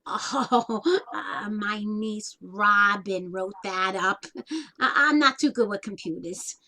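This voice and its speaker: A woman's voice: mid-range voice, slow pace, sharp tone. A woman playing a blunt, unpolished older single aunt in a comic one-act play, wry and plain-spoken, with colloquial grammar. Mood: awkward, chatty. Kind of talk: one-act stage comedy acting.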